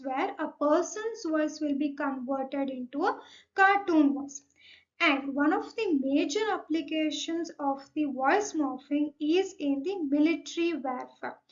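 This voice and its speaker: A young woman with an Indian accent gives an explanatory slide presentation, methodically signposting each point. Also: high voice. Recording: dull, lo-fi microphone sound.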